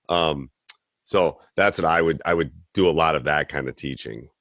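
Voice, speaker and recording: A man with a very low, deep voice talks basketball coaching in a loose, rambling way, like he's chatting on a call. He sounds middle-aged and plain-spoken. The sound is dry and close, with no room around it. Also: unhurried, a bit bright, no echo. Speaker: basketball coach and podcast host.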